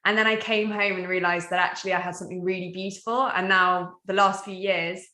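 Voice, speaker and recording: A woman, speaking as a podcast guest from her mountain home. Her voice is bright and clear, and she unfolds her memories in a reflective, storytelling way. The recording carries a noticeable echo from the room. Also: fairly low pitch, flat delivery, brisk. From Wales. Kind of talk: casual conversation. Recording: echoey room.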